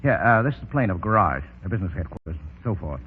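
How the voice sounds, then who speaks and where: A man's voice: sits low, very animated, quick pace. An actor playing a tough, cocky undercover ex-policeman in a 1930s radio drama, with clipped, taunting talk. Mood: confiding. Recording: noisy muffled 1930s radio recording.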